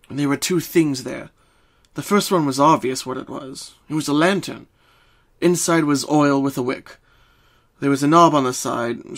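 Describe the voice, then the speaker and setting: A man reading scripted story narration as a dramatic narrator. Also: medium pitch.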